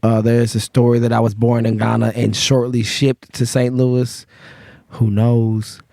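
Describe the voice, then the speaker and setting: A man's voice: medium pitch, flat delivery, measured pace, creaky, mellow, dry recording, studio quality. Laid-back man telling stories. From Memphis, Tennessee. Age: 30s. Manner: laid-back slangy storytelling with fillers. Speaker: guest bartender. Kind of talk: casual storytelling chat.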